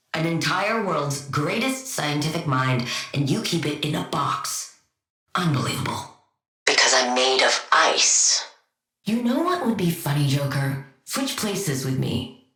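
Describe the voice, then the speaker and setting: Deadpan, cold genius villainess. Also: female voice, deep voice, unhurried, echoey room. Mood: sardonic. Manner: dry, clipped, deadpan sarcasm. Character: cold, sardonic female genius scientist villain devoted to her wife. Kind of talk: video game voice acting.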